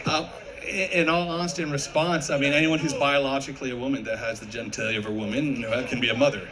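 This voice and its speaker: A man's voice: medium pitch, fairly even intonation, fast talker, a crowd in the background, low-quality recording. A man, a history professor, debating live with probing questions and academic wording.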